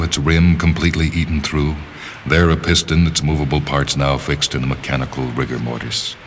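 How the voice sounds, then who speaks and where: A man narrating a literary short story from a script, with measured, deliberate phrasing and dramatic pauses. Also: very deep voice, a little hiss or hum.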